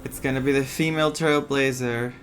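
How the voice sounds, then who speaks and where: A woman giving casual playthrough commentary as a gaming streamer, in an excitable, gushing manner. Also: extremely low pitch, fairly quick, soft background music.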